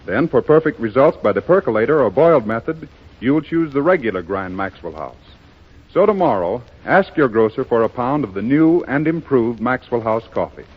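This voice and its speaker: A man's voice: mid-range voice, expressive, normal speed, slightly rough. A man reading a scripted 1930s radio advertisement as an announcer, in a polished, persuasive salesman's manner. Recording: dull, muffled 1930s radio broadcast.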